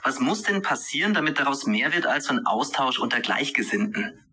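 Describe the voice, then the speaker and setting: A man hosting a radio interview, asking his questions in a measured, formal manner. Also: medium pitch, very animated, sharp tone, breathy edge.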